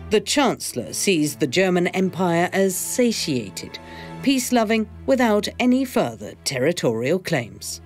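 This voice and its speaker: A woman narrating an educational film from a script in a measured, formal, explanatory manner. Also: lowish voice, big pitch swings. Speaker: documentary narrator. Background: faint music.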